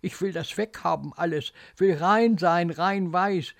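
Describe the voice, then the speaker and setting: Frightened small boy, played by a man, anxious and pleading, higher-pitched, halting phrases, dramatic story. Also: creaky, no room sound, studio quality. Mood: panicked, disgusted. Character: frightened, obedient little boy confined in a hospital bed.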